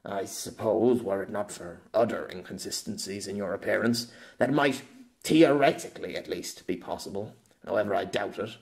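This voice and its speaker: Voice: sits low, normal speed, vocal fry. A storyteller voicing a patient, world-weary, sceptical man in an audio novel, reasoning in a dry, pedantic way.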